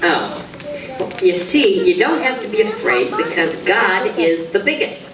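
An actor playing a gentle, reassuring grown-up cartoon friend who explains things simply to a child in a musical story. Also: medium pitch, sing-song, unhurried. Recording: roomy distant playback with music behind.

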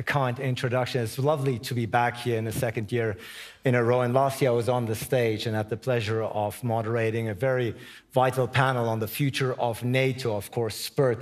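A man in his forties moderating a stage interview, polite and hesitant with frequent fillers. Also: mid-range voice. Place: large conference stage before an audience.

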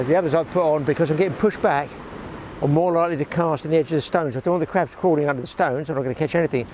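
A chatty older man in his sixties talks to a close-held camera while fishing alone on a shingle beach. He rambles from tips to grumbles with a dry sense of humour, his voice sitting in a middle register. Clear outdoor noise runs behind him. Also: mid-range voice. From England. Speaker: fishing vlogger. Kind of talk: casual chat to camera. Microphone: action camera held close.

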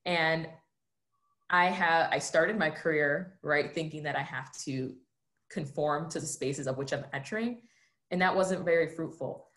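A woman with a low, grounded voice speaks on a video-call panel. She is earnest and reflective, gestures with her hands as she talks, and keeps checking in with her listeners. The call audio is plain and close. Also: measured pace, fairly bright tone. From United States. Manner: earnest, reflective, often tags "right?".

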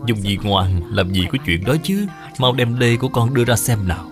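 A performer voicing a kind, patient adult father who speaks calmly and questions gently in an animated moral tale. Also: mid-range voice, brisk, music in the background, natural voice. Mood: patient, questioning.

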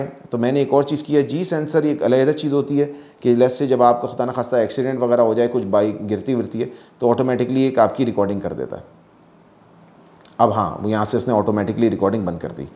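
A man's voice: medium pitch, fairly quick, a little mellow. A man with a Pakistani accent giving a casual, explanatory hands-on gadget demonstration, dropping in English phrases. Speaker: product reviewer. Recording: echoey room.

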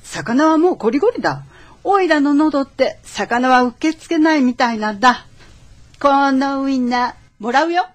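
A woman acts out a small boy crow in a radio drama. The voice is high and dryly recorded, with cheeky, excitable, boyish talk. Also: normal speed, no room sound. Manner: boyish, informal speech. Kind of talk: Japanese radio drama acting.

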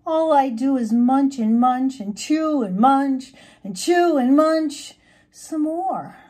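An earnest young boy caterpillar from a storybook, acted out by a woman reader. He speaks in a high, swooping voice with a husky edge, drawing out his words eagerly. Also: exaggerated voice. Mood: lonely. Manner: expressive, drawn-out calling and musing.

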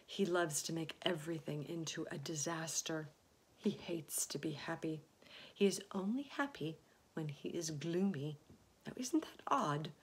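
A woman voicing a gentle female ladybug who confides in a breathy hush in a children's storybook. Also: on the low side, unhurried, few pauses. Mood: confiding. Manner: hushed, breathy whispering. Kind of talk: children's storybook read aloud.

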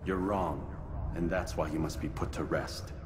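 A stoic assassin speaks very low, measured and earnest. It is game acting, with long reverb and clear music. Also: male voice, steady tone. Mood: firm, solemn. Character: stoic young male medieval assassin, principled and solemn. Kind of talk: video game cutscene acting.

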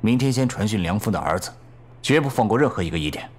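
A man playing a middle-aged police bureau chief, measured, deliberate and authoritative, in an audio drama. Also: on the low side, lively intonation, breathy edge. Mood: measured, commanding. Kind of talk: Chinese audio drama acting.

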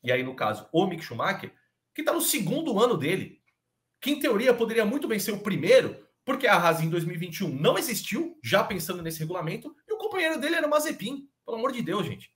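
A young Brazilian man talks quickly and animatedly on a video call podcast, his voice set a little high for a man. He argues his points with strong emphasis, repeating himself to drive them home. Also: sing-song, a bit bright. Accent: Brazilian Portuguese. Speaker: podcast panelist. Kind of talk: casual chat about motor racing.

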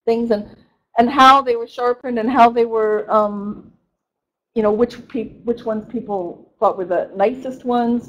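A woman's voice: a little high, muffled recording. Woman lecturing with many restarts. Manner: conversational, frequent restarts and self-corrections. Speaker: university researcher giving a lecture. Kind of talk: academic presentation.

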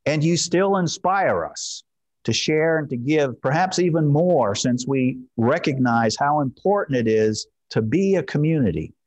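A man in his fifties preaching informally as a pastor in a measured, gently encouraging way. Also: fairly high pitch, lively intonation. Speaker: pastor leading a church service. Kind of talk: informal sermon and prayer. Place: church sanctuary, at a wooden pulpit.

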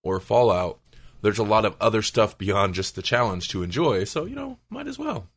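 A man's voice: fairly low pitch, sing-song, normal speed, breathy edge. A man who hosts a podcast, chatting casually about video games. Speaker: podcast host.

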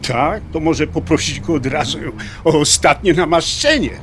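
An actor playing a middle-aged motorcyclist, an anxious, joking atheist who talks in a blunt, colloquial way in an audio drama. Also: male voice, on the high side, expressive, normal speed, fairly bright tone, slight background noise. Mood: blunt, irritated. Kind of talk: dialogue acting in an audio drama.

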